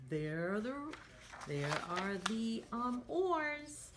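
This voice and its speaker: A woman hosting children's storytime, reading a picture book aloud in an expressive, sing-song manner and talking directly to the young listeners. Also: on the high side, very slow, background noise.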